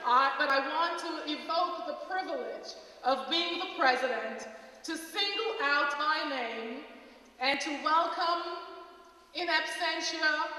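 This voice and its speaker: A woman's voice: high-pitched, sharp tone. Jamaican-born woman speaking in a reverberant hall. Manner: measured, stately address. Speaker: college president. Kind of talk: formal welcome speech. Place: large auditorium. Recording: echoey hall recording from far off.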